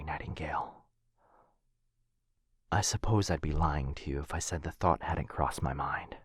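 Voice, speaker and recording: A man playing an apologetic, protective vampire lord in an intimate boyfriend ASMR roleplay, with a breathy, husky voice that often drops to a whisper and a hesitant, tender delivery. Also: sits low, dry recording, clean studio sound. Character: apologetic, protective male vampire lord, soft-spoken and earnest.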